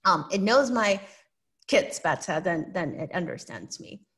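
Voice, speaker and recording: A woman's voice: fairly low pitch, lively intonation, a little slow, a bit bright. A woman from Hong Kong with a Hong Kong English accent, a guest giving earnest, explanatory answers in an interview and often checking in with "right?".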